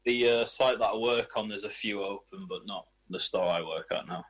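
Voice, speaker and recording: A man's voice: lowish voice, moderate pace, a bit bright, husky. A man in his twenties, a footballer, answering questions in a casual radio interview. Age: 20s.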